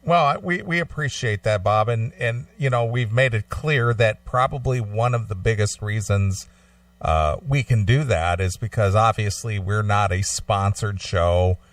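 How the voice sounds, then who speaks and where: A man's voice: on the low side, measured pace, no echo, recorded from a distance. Man hosting talk radio, slightly off-mic. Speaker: host of a long-running radio show. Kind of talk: casual talk-show chat.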